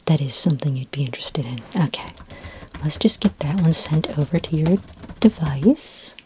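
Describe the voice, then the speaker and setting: A woman whispering softly in a breathy voice as a gentle, helpful, slightly hesitant librarian in an ASMR roleplay. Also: sits low, lively intonation, moderate pace, a little hiss or hum. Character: friendly, patient futuristic library librarian. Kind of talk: soft-spoken ASMR roleplay. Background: computer keyboard typing.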